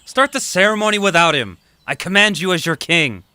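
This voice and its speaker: A man's voice: high-pitched, expressive, a little fast, sharp tone, clear, resonant tone, dry recording, full character voice. A man playing a petulant, impatient, bossy king in an audio drama. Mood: bored, commanding.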